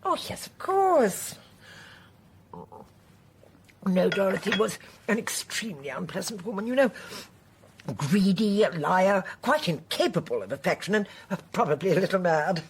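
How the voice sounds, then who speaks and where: A woman's voice: medium pitch, big pitch swings, moderate pace, slight background noise. An actress playing a genteel, haughty older woman in a radio drama, a chatty and unrepentant poisoner with an imperious, emphatic manner. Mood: coolly boastful.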